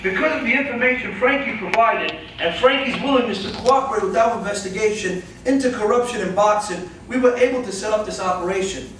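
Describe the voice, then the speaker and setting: A man's voice: high-pitched, brisk, few pauses, a bit bright. A man playing a commanding, official police special agent in a staged play reading. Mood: calm, official. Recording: very echoey room, distant mic, faint noise.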